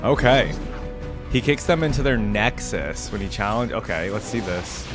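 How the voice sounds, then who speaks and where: A man's voice: lowish voice, expressive, moderate pace. A man hosting a reaction video with casual, off-the-cuff commentary, informal and exclamatory as he thinks aloud. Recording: music and game audio behind voice.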